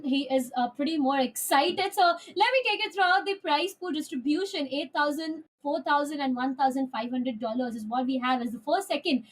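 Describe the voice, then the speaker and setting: A female esports caster talks chattily over a headset on a remote call. Her voice is markedly high. She fills her pauses with frequent ums and uhs. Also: poor audio. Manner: chatty, lots of filler sounds. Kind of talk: live esports commentary.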